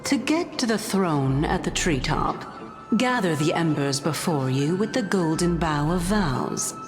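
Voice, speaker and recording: A woman's voice: sits low, animated, a little slow. A woman playing a mysterious, haughty and playful divine lady in a video game, with a languid, formal, teasing manner. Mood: solemn. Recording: loud music behind the voice.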